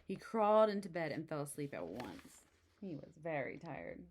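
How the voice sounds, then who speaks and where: A woman with a slightly low voice reads a children's storybook aloud. Her delivery is expressive and exclaiming, broken up by casual asides. There is some noise in the recording behind her. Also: moderate pace. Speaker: storybook reader.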